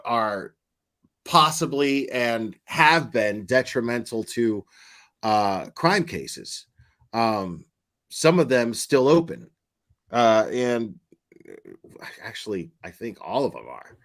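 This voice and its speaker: Slow, blunt man hosting a livestream. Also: medium pitch, fairly bright tone. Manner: blunt, profane, rambling with fillers. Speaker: commentary channel host. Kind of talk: casual livestream commentary.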